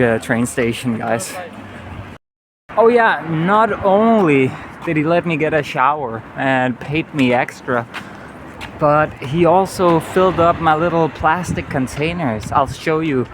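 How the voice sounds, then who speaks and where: Rambling Danish-accented man on a noisy street. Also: fairly high pitch. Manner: rambling and earnest, frequent "you know". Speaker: travel vlogger. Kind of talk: casual walking vlog monologue. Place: outdoors on a city street. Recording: clear street traffic noise. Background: city traffic and vehicles.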